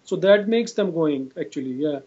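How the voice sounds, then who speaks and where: High-pitched Indian-accented man on video call. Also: lively intonation, normal speed, dark tone. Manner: repetitive, often says "you know". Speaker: clinician being interviewed. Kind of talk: explanatory interview answers. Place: indoor room, video call. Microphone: video call device at arm's length. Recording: dull, lo-fi video call audio.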